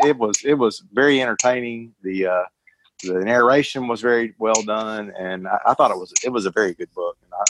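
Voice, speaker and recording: A man's voice: fairly low pitch, a little hiss or hum, rough recording. A man chatting casually about a book, hesitantly and with many stammered repetitions. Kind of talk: casual book club discussion.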